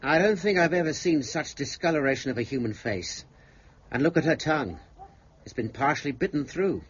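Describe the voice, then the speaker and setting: A man's voice: a little high, animated, a little fast, faint music behind, close to an everyday voice. An actor playing a flustered, earnest man in a period drama, speaking in a fussy, emphatic way. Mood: grave, shaken. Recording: dull, slightly muffled audio.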